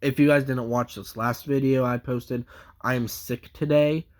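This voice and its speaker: A man's voice: medium pitch, steady tone, measured pace. A man with a stuffy nose from a cold, giving a casual, rambling comic book review full of fillers and restarts.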